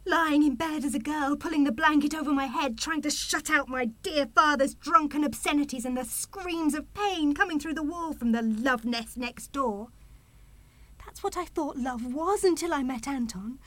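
A woman's voice: sits high, normal speed, fairly bright tone, dry recording. A woman playing a defiant, passionate young mother in a radio play. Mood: scornful.

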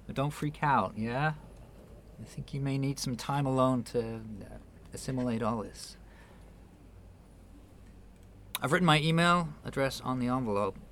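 A man's voice: medium pitch, normal speed, fairly bright tone, a little hiss or hum, natural voice. An actor in a radio play voicing an earnest, patient man who speaks hesitantly, with small stammers. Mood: reassuring. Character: earnest, patient man gently persuading a younger relative. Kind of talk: naturalistic radio play acting.

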